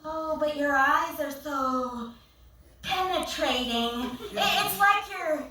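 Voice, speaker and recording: An actress plays a very high-voiced, pompous woman therapist in a stage comedy. She lectures slowly and earnestly, then bristles and falters as she takes offence. The sound is distant and heavily echoing in a theatre. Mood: flustered, offended. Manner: earnest lecturing, flustered and hesitant. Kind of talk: stage acting in a comedy play reading. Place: theatre stage, recorded from the audience. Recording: echoey theatre, far mic, noisy.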